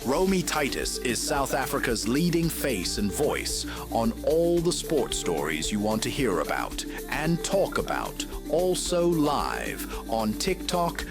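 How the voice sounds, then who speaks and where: A man voices a show promo in a slow, measured style with lively pitch swings. Clear music plays under him throughout. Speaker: show announcer. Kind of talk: scripted promo voice-over.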